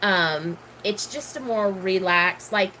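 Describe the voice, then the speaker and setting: A woman's voice: medium pitch, slow, sharp tone, slight background noise, low-quality recording. A middle-aged woman from Texas, casually chatting and answering questions, rambling with many ums. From Houston, Texas. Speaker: gardening vlogger.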